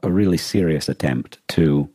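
A man co-hosting a podcast, discussing books casually in a measured, considered and slightly tentative way. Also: extremely low pitch, dark tone, dry recording, studio quality. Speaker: podcast co-host.

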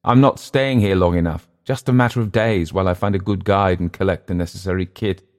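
A man's voice: low-pitched, fairly even intonation, brisk, darkish tone, close to an everyday voice. A man voicing a naive, eager young English adventurer for an audiobook, with a hesitant, apologetic, stammering manner and an English accent. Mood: nervous bravado. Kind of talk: audiobook character dialogue.

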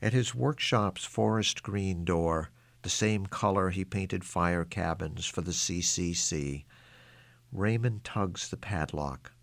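A man's voice: low voice, creaky, dry recording. A man reading his own literary short story aloud as a scripted narration.

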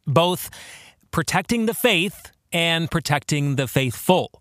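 A man hosting a solo podcast in a reflective way, speaking earnestly with deliberate, emphatic phrasing. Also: on the high side, lively intonation, a bit bright, dry recording, clean studio sound. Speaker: podcast host and author.

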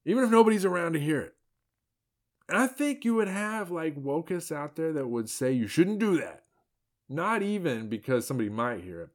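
A man hosting a podcast, talking through his views in a rambling, sarcastic way with many fillers and restarts. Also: fairly high pitch. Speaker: podcast host. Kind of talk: opinion podcast commentary.

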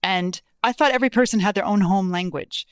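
An animated woman author tells stories in a relaxed interview, laughing often and jumping into quoted voices. Her tone is smooth and clean, recorded close and dry with no room sound. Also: mid-range voice, fairly quick, no room sound. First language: Lithuanian. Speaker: guest author. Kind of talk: casual interview conversation.

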